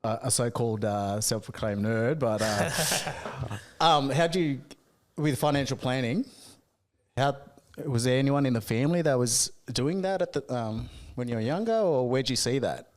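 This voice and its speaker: A man's voice: medium pitch, normal speed. A man hosting a casual interview, friendly and teasing his guest. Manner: friendly, teasing, laughs along. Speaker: show host.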